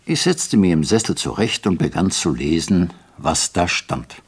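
A man narrating a first-person story in a measured, evenly paced storytelling manner. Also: low voice, lively intonation, husky. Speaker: audiobook narrator.

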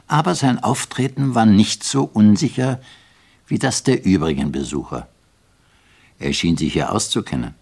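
A man narrating a first-person story in a measured, evenly paced storytelling manner. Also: low voice, a little mellow, husky. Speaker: audiobook narrator.

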